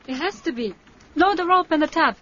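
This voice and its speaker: A woman's voice: sits high, expressive, a little fast. An actress playing a frank, earnest young Japanese island diving woman in a radio drama, with simple, careful phrasing. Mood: brisk, instructing. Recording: dull, noisy radio drama recording.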